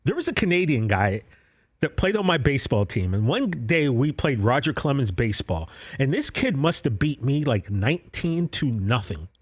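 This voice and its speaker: A man co-hosting a sports podcast, telling animated stories in casual banter. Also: mid-range voice, moderate pace, slightly rough. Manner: animated storyteller, trash-talking banter. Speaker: podcast co-host.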